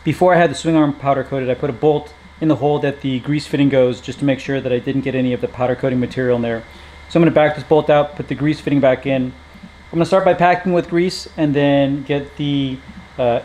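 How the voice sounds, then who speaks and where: A man working on a motorcycle in his home garage, talking through the job in a relaxed, matter-of-fact way with frequent pauses. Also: medium pitch. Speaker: motorcycle builder vlogger. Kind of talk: casual workshop narration. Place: hot home garage with a fan running. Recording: echoey garage, faint fan noise. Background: fan noise.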